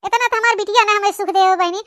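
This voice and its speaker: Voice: very high voice, steady tone, fast talker, a bit bright, no room sound. An actor voicing a doting, talkative old village mother-in-law in an Awadhi cartoon comedy, rambling fondly and effusively. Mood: fond, proud. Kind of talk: cartoon comedy monologue in rural dialect.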